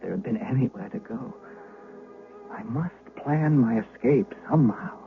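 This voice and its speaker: A man's voice: mid-range voice, lively intonation, unhurried. An actor playing a frightened, servile young shepherd boy in an old-time radio drama, anxious and haunted. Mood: haunted, dread. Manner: stammering, hesitant when questioned. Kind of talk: old-time radio drama acting, narrating his own tale. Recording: muffled vintage 1970s radio broadcast.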